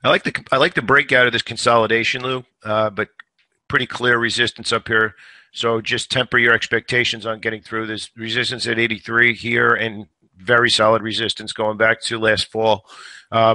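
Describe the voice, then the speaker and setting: A man hosting a stock trading show, giving live market commentary in a conversational way, a little hoarse today and repeating words as he thinks. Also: fairly low pitch, sharp tone, no echo. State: slightly hoarse.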